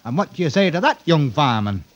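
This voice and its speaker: Mocking, possessive old-radio master. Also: male voice, a little high, very animated, fast talker. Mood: smug. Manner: mocking, theatrical, florid. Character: sly, possessive English master who holds a servant girl indentured. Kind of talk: 1950s radio drama acting. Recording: muffled, noisy vintage radio recording.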